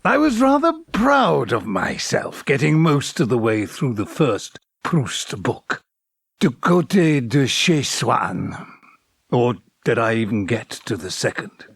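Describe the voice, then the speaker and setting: A man's voice: mid-range voice, big pitch swings, slow pace, creaky, husky, dry recording, studio quality, exaggerated voice. An actor in an audio drama playing a wistful, bookish man who recalls his past loves in articulate, formal, slightly hesitant phrases. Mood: reflective. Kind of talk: audio drama acting, dialogue and reflective monologue.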